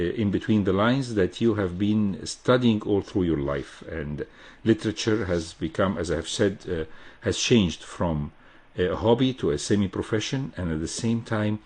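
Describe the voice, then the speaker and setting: Radio host guy, very low husky voice, formal and halting, lots of uhs. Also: darkish tone. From Egypt. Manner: formal, halting, many fillers. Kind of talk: radio interview questions. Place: radio studio.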